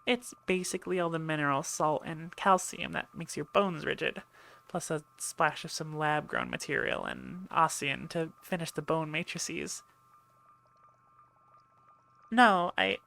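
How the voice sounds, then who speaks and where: A woman's voice: lowish voice, gravelly, a bit bright. A woman playing a chatty, teasing and reassuring medic aboard an alien warship in an ASMR roleplay. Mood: chatty, explaining. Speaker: audio roleplay voice actor. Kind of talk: ASMR roleplay, one-sided conversation.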